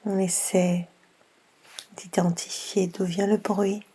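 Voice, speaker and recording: A woman guiding a yoga nidra session in a soft, half-whispered voice, unhurried, with long pauses between gentle instructions. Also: mid-range voice, little pitch movement, slightly rough. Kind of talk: slow guided meditation.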